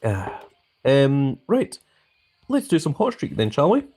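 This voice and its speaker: Man, young adult, live game streamer, friendly, chatty, reads chat aloud, mid-pitched voice. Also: wildly swooping pitch, slow, mellow, husky. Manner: chatty and friendly, with frequent ums and uhs. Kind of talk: casual live-stream chat, reading viewer comments.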